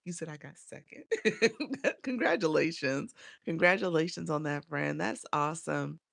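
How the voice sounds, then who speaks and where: A woman's voice: low-pitched, moderate pace, creaky, fairly bright tone, dry recording, clean studio sound. A woman coaching on a casual livestream, chatty and encouraging as she greets viewers by name, sounding a bit congested and nasal from a cold. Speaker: live streaming coach.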